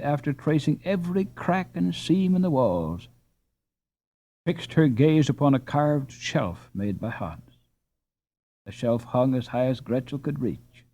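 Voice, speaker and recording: Male audiobook narrator, very slow measured read, dull lo-fi recording. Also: medium pitch, mellow. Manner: measured, unhurried reading. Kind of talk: scripted storybook narration.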